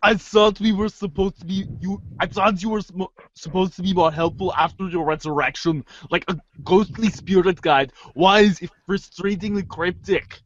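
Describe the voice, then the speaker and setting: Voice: mid-range voice, moderate pace, few pauses, lightly acted. A performer playing an articulate, sardonic, exasperated girl in amateur readers' theater, wry, wordy and precise. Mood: dry exasperation. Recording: muffled lo-fi audio.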